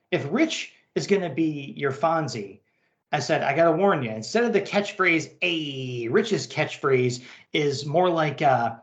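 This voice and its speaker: Male podcast host, slightly high and very lively intonation, casual banter and mail reading, roomy sound. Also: dull audio. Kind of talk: casual chat and reading listener email aloud.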